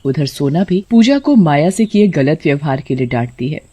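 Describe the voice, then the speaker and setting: A woman's voice: low-pitched, lively intonation, moderate pace, dark tone, breathy edge, highs cut off. A woman storyteller reading scripted narration for a horror tale.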